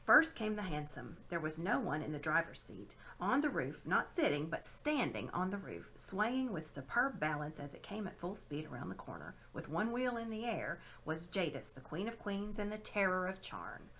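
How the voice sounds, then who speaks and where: A woman reading a children's story aloud with dramatic, expressive narration. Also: on the low side, normal speed, few pauses, slightly rough, rough recording. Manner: dramatic, animated. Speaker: storybook reader.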